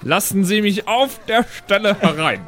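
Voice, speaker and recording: A man voicing a pompous, indignant dwarf posing as a lawyer, speaking in stilted, affected formal language with halting pauses in an improvised role-playing game. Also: high voice, lively intonation, a bit bright, pitched above the performer's own voice. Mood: stiffly formal.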